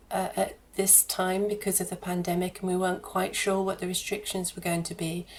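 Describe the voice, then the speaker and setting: A woman with a fairly low voice and very little rise and fall in pitch. She answers as a guest in a radio interview, talking conversationally and feeling her way through phrases with some repetition. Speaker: charity head of fundraising, interview guest.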